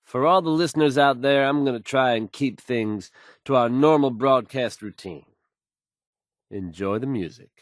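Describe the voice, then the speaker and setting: A man's voice: mid-range voice, moderate pace, no room sound, clean studio sound, natural voice. A man playing an assured, folksy radio DJ in a video game, delivering news bulletins to listeners with fluent, polished ease. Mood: reassuring.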